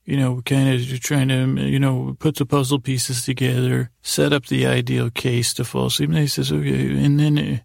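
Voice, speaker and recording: A male podcast host tells a rambling bedtime tale in a heavily creaky voice. He halts and doubles back on phrases as he acts out inner dialogue. The sound is dry, with no room on it. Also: medium pitch, little pitch movement, no echo. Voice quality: gravelly, creaky. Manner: hesitant, halting, circling back with many repetitions.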